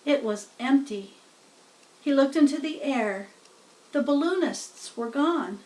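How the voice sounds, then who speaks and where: High-voiced, unhurried woman reading a storybook. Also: lively intonation, mellow, distant mic, rough recording. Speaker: storybook reader. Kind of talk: children's story narration.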